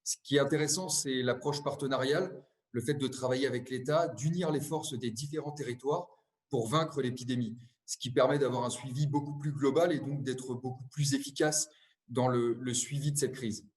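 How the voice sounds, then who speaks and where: A man's voice: mid-range voice, steady tone, normal speed. A man speaking as a local official, giving a formal interview statement. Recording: echoey room.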